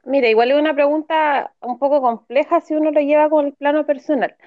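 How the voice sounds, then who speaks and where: A woman's voice: high voice, steady tone, fairly quick, no room sound. A Chilean woman in her forties, speaking thoughtfully in a casual discussion, often restarting her words. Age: 40s. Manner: thoughtful, hesitant with repeated words. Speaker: podcast guest, activist. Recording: dry, dull lo-fi sound.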